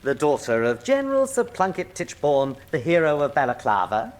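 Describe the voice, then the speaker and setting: A woman's voice: low voice, very animated, moderate pace, darkish tone. A woman playing a cold, mocking colonel and spymaster in a radio drama, with a sardonic, commanding manner.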